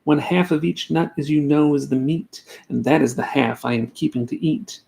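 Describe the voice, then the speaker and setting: A man's voice: fairly high pitch, normal speed, vocal fry, a little mellow. A man voicing a small, bossy and gleeful bug in a bedtime storybook reading. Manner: snapping, commanding, mocking. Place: child's bedroom at bedtime.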